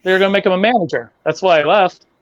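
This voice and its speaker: A man with a fairly high voice gives blunt takes on a casual podcast, swearing now and then. The sound is dry and a little muffled, as if the audio was cut down. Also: fast talker, dry recording. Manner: animated, opinionated, swears casually. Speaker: podcast co-host. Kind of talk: casual wrestling chat.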